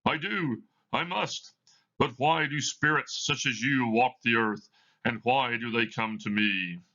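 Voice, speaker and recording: A man's voice: medium pitch, measured pace, pushed and bright, highs cut off, voice pushed up. A man playing a grumpy, skeptical old miser who is rattled yet defiant, blustering through a play reading. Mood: pleading. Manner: blustering, exclamatory.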